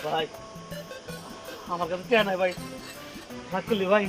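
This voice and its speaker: A man's voice: high-pitched, moderate pace, natural voice. A man playing a pleading, penniless glutton in a comedy drama, with a Bangladeshi accent. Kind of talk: Bengali web comedy drama acting. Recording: loud music over the voice.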